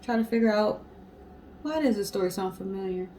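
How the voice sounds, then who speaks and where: A woman's voice: medium pitch, expressive, fairly quick, warm tone, slight background noise. A woman co-hosting a casual storytelling show in a conversational, explanatory way. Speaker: co-host.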